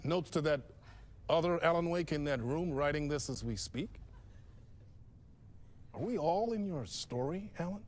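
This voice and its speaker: A man's voice: on the high side, lively intonation, measured pace, long pauses, a little mellow. A man playing a flamboyant, gushing TV talk show host in a game, with theatrical showmanship. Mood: knowing, flattering. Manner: effusive, exaggerated showmanship. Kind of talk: theatrical late-night talk show interview.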